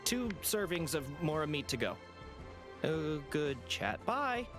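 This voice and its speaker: A man's voice: fairly high pitch, big pitch swings, measured pace, music in the background. A man voicing a smitten, awkward restaurant customer in a video game. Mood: nervous.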